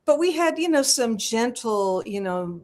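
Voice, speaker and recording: An older woman, a retired singer, chats freely as a guest. She speaks softly and laughs often. Her pitch moves in lively swoops. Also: measured pace. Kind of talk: casual interview chat.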